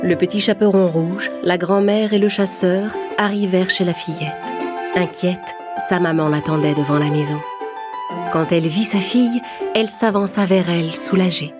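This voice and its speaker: A woman's voice: on the low side, moderate pace. A woman narrating a scripted children's story as the storyteller. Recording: prominent music under the voice.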